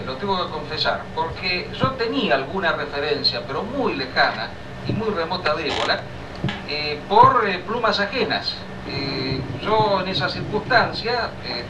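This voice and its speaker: An older man giving an earnest lecture to an audience, full of hesitations and rhetorical questions, recorded in a lecture hall. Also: high voice. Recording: echoey hall, distant mic, background noise.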